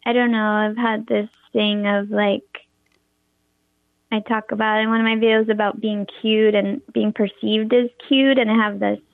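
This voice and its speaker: A young woman with a high voice, a performer and teacher, talking in an interview with lots of hesitant fillers and restarts. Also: little pitch movement, moderate pace, creaky, no room sound. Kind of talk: interview conversation. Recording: tinny phone-like line, dry.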